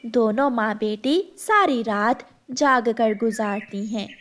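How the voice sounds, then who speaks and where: A woman's voice: fairly high pitch, slow pace, very noisy. A woman narrating a scripted children's story.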